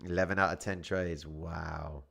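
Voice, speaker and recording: A man's voice: low-pitched, fairly even intonation, a little slow, vocal fry, fairly bright tone. A young man from Cyprus, casually hosting a trading livestream and answering viewer comments in slangy, laid-back chat.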